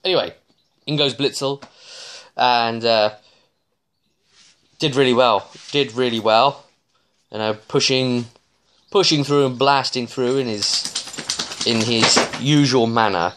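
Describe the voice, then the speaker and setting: A man in his thirties giving a casual, rambling recap as a game master, with halting, digressive phrasing and frequent restarts. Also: medium pitch, a bit bright, a little hiss or hum. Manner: halting, digressive, often restarting mid-sentence. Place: small living room, indoors.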